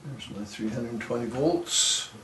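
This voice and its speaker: A man narrating a hands-on repair tutorial in a halting, unscripted way, as if working at a bench indoors. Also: mid-range voice, a crowd in the background. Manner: hesitant, unscripted, halting delivery. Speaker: electronics repair video host. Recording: roomy, distant mic, noisy background.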